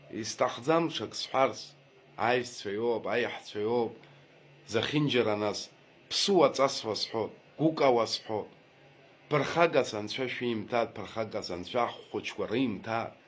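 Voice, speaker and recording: A man's voice: lowish voice, husky. A man from Abkhazia, making an earnest, emphatic personal appeal straight to his viewers and repeating his points. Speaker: video blogger making a public apology. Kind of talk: direct-to-camera personal appeal.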